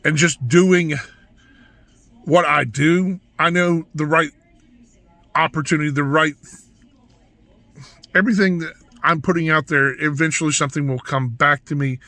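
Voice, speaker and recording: A man with a hoarse, raspy voice, talking through a casual vlog in a rambling monologue full of restarts and asides. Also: on the high side, fairly even intonation, measured pace, long pauses, sharp tone, no room sound. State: hoarse from yelling. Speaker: vlogger.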